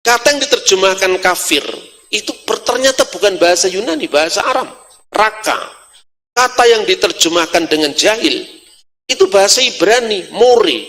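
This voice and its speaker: Forceful Javanese-accented male lecturer in echoing hall. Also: high-pitched, normal speed. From Java, Indonesia. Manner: animated explaining with rhetorical questions and colloquial asides. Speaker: religious lecturer. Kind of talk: sermon-like teaching on scripture. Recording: long hall reverb.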